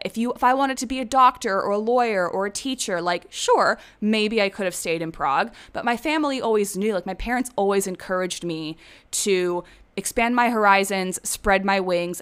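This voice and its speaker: A woman in her thirties from the Czech Republic with a clear, smooth voice at a middling pitch. She talks like a casual vlogger, rambling candidly through personal stories and swearing now and then. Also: fairly bright tone. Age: 30s. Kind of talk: casual personal storytelling to viewers.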